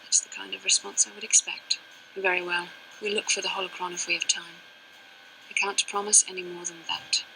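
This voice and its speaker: A proud young Jedi woman for a video game, guarded and resentful toward family. The voice is very bright and forceful, set a little low, with formal, clipped, earnest phrasing. Faint noise and ambience sit behind it. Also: lively intonation, a little fast, exaggerated voice, low-quality recording. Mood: cold, reluctant. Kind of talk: dramatic scripted game dialogue.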